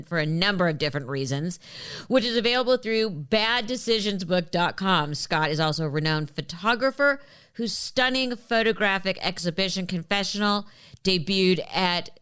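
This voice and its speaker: A woman's voice: fairly low pitch, a bit bright, smooth. A woman hosting a podcast, introducing and interviewing a guest in a conversational style. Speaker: podcast host.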